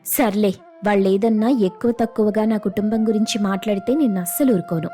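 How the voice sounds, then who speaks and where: A woman's voice: medium pitch, fairly quick, mellow, soft background music, close to an everyday voice. A woman voicing an irritated, outspoken young daughter-in-law in a family story, blunt and indignant.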